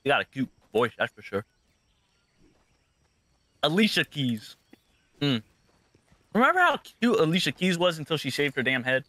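A man's voice: a little high, sing-song, moderate pace, long pauses, pushed and bright, dry recording. A young man chatting over voice chat during a game, rambling in a goofy way, half to himself. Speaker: friend in a game party. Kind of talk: casual gaming chat.

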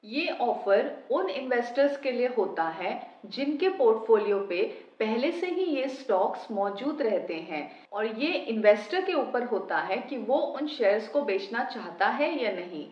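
A woman hosting a finance channel, giving a steady, step-by-step scripted explanation. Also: a little high, measured pace, hardly any pauses. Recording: roomy, slightly dull home recording.

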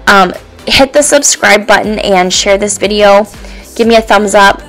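Chatty mom vlogger over soft music. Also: female voice, medium pitch, unhurried, poor audio. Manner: chatty, warm and encouraging, with frequent ums. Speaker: stay-at-home mom vlogger. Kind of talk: casual voice-over chat.

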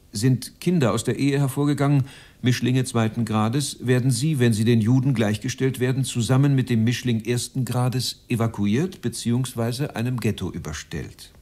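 A man's voice: medium pitch, darkish tone, lightly acted. A man acting a coldly officious Nazi-era bureaucrat. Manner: formal, clipped enumerating, bureaucratic. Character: cold, officious Nazi official chairing a conference. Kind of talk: dramatized reading of a protocol.

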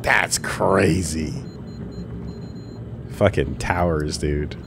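A man's voice: deep voice, very slow, gravelly. A man casually commentating a game, reading screen text aloud and joking. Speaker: let's play commentator. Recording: noisy stream mix with game audio.

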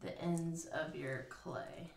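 A woman's voice: low voice, fairly even intonation, takes it slowly, creaky. A woman casually explaining a craft step by step at a table, thinking aloud with fillers. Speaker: craft instructor. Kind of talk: casual hands-on craft tutorial. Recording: roomy, slightly distant.

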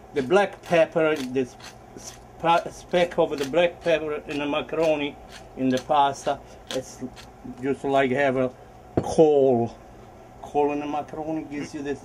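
Halting older man cooking. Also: fairly high pitch, steady tone, a little mellow, a little hiss or hum. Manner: halting, repeats words and restarts often. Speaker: home cook, father of the host. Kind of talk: casual kitchen chat. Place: home kitchen.

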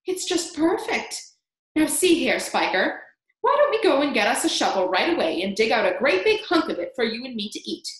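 A woman voicing a fat, greedy, excitable aunt from a storybook, gushing and exclaiming. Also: a little high, fairly quick. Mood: greedy eagerness. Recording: echoey room.

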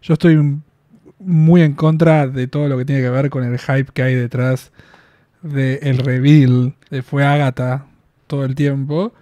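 A man in his twenties with an Argentine Spanish accent, talking through a casual spoken review in a small room on a boom mic, chatty and quick to laugh, with drawn-out fillers. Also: flat delivery, mellow, dry recording. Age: 20s. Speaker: reaction video host. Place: small room in front of packed collectible shelves. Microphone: studio mic on boom arm, headphones on.

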